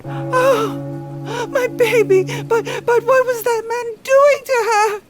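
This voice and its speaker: A woman's voice: very high-pitched, steady tone. A woman playing a frantic, fretful mother. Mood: distraught. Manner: fretful, pleading, quick to panic. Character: frantic, anxious middle-aged mother searching for her daughter. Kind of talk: audio drama acting. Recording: music playing behind the voice.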